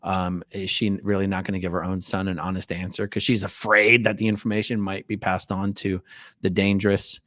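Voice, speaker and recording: A man hosting a casual interview, probing and halting, repeating words for emphasis as he works toward his point. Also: low voice, flat delivery, fairly bright tone, dry recording, clean studio sound. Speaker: host interviewing a guest on a recorded call.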